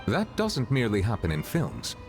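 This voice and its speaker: A man voicing a dignified, earnest figure of authority in a video game, formal and measured. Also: fairly low pitch, wildly swooping pitch. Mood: measured, serious. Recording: faint music behind the voice.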